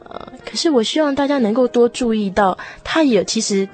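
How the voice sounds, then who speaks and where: A woman's voice: on the high side, fairly quick, a little mellow, faint music behind. A woman guest on a radio show, sharing her reflections casually in an earnest, encouraging way.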